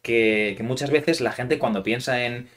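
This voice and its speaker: A young man from hosts a relaxed chat. His voice has an ordinary, mid male pitch. He asks questions in a friendly manner, often pausing on fillers as he thinks. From Spain. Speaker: podcast host, Spanish teacher. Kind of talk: casual interview chat.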